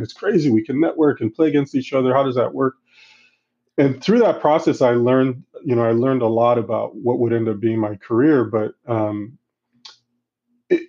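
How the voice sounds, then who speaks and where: A middle-aged man who grew up in Central Africa, answering interview questions in a halting, reflective way with many restarts. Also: medium pitch, dark tone. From Congo, Central Africa. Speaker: guest. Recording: slightly dull, lo-fi sound.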